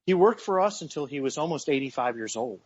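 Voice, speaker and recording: A man in his forties talks on a casual radio show at an average pitch, earnest and often doubling his words as he builds a point. The audio is a bit dull and lo-fi. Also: lively intonation, quick pace. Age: 40s. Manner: earnest, repeats words while gathering thoughts. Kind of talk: casual talk radio chat.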